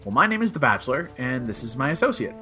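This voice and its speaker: Wry, animated adventurer played by a man. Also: medium pitch, fast talker, soft background music. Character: wry, flippant male time-travelling adventurer. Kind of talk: comic audio drama acting.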